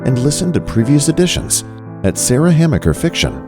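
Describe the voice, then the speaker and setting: A man's voice: fairly high pitch, big pitch swings, brisk. A man reading a scripted podcast outro as the show's announcer. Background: plucked guitar music.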